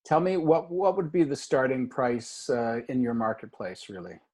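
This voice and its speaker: A man's voice: medium pitch, normal speed, dark tone, slight background noise. A man from Canada hosting a casual video call interview, upbeat and loose with many fillers. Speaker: host.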